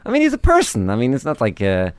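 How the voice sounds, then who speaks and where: A man from Brooklyn with a New York Yiddish accent and a slight Israeli tinge, a native Yiddish speaker chatting casually as an interview guest in a halting, wry, informal way. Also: medium pitch, huge pitch swings, dry recording, studio quality.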